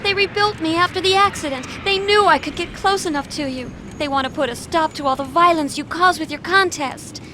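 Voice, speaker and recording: An actress voicing a sad, frightened young cyborg daughter in a video game, plaintive and childlike. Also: female voice, very high-pitched, a little fast, few pauses. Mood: sad, scared. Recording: clear noise behind the voice.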